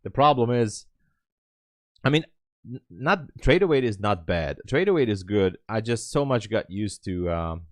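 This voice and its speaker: Low-voiced Hungarian man streaming casually. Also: very animated, a little slow, no echo, studio quality. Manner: rambling, thinking aloud. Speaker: live trading streamer. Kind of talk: casual livestream chat.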